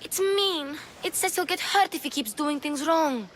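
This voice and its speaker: A woman's voice: high-pitched, lively intonation, moderate pace, fairly bright tone, slight background noise. An actress playing an eager, curious young girl thief in a game cutscene. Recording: game cutscene with faint music. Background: music.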